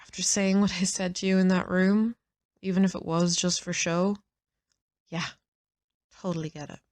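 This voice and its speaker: A woman acts out a no-nonsense young woman in an audio roleplay. Her voice is on the low side and recorded dry, direct and assertive in delivery. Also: steady tone, moderate pace, a little mellow, no echo, lightly acted. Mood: firm. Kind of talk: audio roleplay drama acting.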